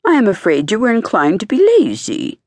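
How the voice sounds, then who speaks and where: A woman's voice: medium pitch, huge pitch swings, fairly quick, darkish tone, no room sound, pitched above the performer's own voice. A woman voicing a kindly rich merchant in a storybook, paternal and authoritative. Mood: stern. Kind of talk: storybook character voice.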